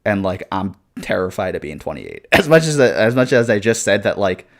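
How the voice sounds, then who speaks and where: Low-voiced rambling young man streaming. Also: sing-song, normal speed, creaky. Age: 20s. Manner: rambling, swearing, frequent laughs and fillers. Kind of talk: casual live-stream chat reading viewer comments.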